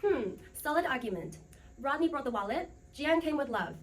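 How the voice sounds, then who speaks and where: A woman's voice: high-pitched, animated, normal speed. A woman hosting a scripted game show with playful, teasing banter. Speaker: game show host of a student role play. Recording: echoey, distant mic, music behind.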